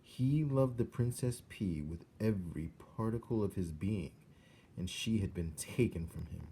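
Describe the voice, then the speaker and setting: A man reading a children's story aloud as an expressive narrator. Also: sits low, unhurried, a little hiss or hum.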